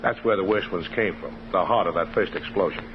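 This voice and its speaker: A man's voice: low-pitched, brisk, natural voice. An actor playing a matter-of-fact police investigator in a 1950s radio drama, informal and businesslike as he reports. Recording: noisy, muffled 1950s radio recording.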